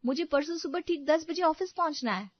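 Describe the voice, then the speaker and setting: A woman voices a young working mother in a family tale. Her high voice is anxious and full of complaint. The sound is dry and slightly muffled. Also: lively intonation, fairly quick, no room sound. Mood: shocked. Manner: protesting, incredulous.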